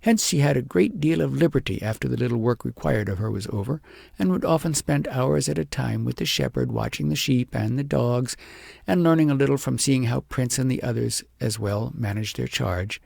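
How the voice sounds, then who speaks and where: Gravelly male storybook narrator. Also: mid-range voice, animated, moderate pace, darkish tone, no echo, clean studio sound. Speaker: audiobook reader.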